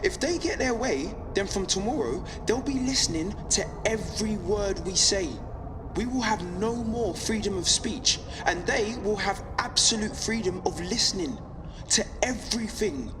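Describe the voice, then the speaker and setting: A woman's voice: mid-range voice, animated, lightly acted. Young female underground broadcaster played by an actress for audio drama: earnest, defiant, rhetorical delivery, echoing sound with faint noise. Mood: urgent, indignant. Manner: deliberate, emphatic, rhetorical repetition. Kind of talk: scripted audio drama monologue. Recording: long reverb, faint background noise.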